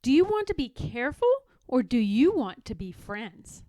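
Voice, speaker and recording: A woman voicing a sly, firm young girl in a storybook, speaking with deliberate emphasis. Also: sits high, very animated, warm tone, dry recording. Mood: earnest. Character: young girl, firm, sly, singsong, standing her ground.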